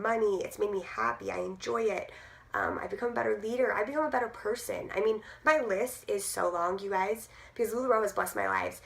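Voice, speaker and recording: A woman in her thirties, hoarse from losing her voice, chatting casually to camera with many fillers. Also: medium pitch, a bit bright. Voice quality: gruff. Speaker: direct sales team leader.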